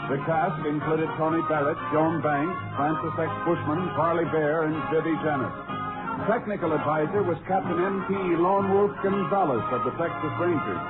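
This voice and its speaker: An American man reading credits as a formal, projected 1950s radio announcer. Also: a little high. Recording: narrow 1950s broadcast over loud music.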